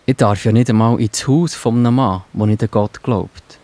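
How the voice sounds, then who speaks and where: A man's voice: fairly low pitch, moderate pace, warm tone, no room sound, natural voice. A man playing the apostle Peter in a children's audio drama, musing and pondering aloud. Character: Peter, thoughtful kindly bearded apostle man.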